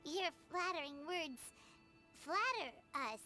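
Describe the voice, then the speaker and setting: A woman voicing a tiny, excitable childlike fairy guide in an anime game, squeaky and chirpy. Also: slow, heavily acted. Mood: eager. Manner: chirpy, speaks of herself in third person. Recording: game music behind.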